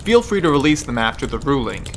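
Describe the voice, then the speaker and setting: A man's voice: mid-range voice, very animated, fast talker, a little hiss or hum, natural voice. A man playing an intense, scheming teenage debate team leader in an amateur radio drama, terse and commanding. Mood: terse, strategic.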